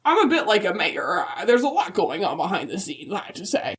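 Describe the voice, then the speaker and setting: A woman's voice: medium pitch, very animated, brisk, natural voice. A woman playing a kindly, prim, folksy Mrs. Claus in a holiday interview, with genteel, dithering, old-fashioned phrasing. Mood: folksy, proud.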